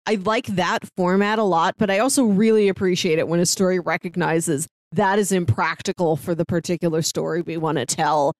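Female co-host, slightly low register, articulate casual analysis, no room sound at all. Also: fairly even intonation, brisk, hardly any pauses, dry recording, clean studio sound. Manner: articulate, explanatory. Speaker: podcast co-host reviewing audio dramas.

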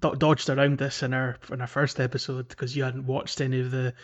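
A man's voice: medium pitch, level tone, brisk. A man co-hosting a casual gaming podcast, explaining his thoughts in a reflective, hesitant way. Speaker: podcast co-host.

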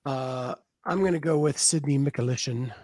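A man's voice: mid-range voice, normal speed, a little mellow, no room sound. Man joking through a casual draft. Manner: joking, teasing. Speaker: podcast co-host. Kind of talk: casual chat.

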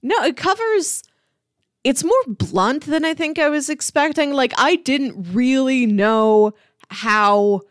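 A woman's voice: high voice, lively intonation, slow, dry recording. Woman podcaster with a dry close sound. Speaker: podcast co-host. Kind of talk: casual chat about television.

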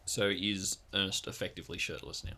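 Male game master, bright forward tone at a fairly low pitch, improvised narration. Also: level tone, brisk.

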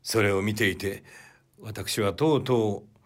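Humble, troubled confessor in a period audiobook, played by a male reader: lowish voice, polite, measured storytelling. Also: fairly even intonation. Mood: troubled, subdued. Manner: polite, humble, measured first-person recounting.

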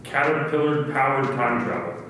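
A man's voice: mid-range voice, animated, moderate pace, fairly bright tone, a little hiss or hum. A man giving casual, reflective answers as an interview guest. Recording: echoey hall, distant, faint music.

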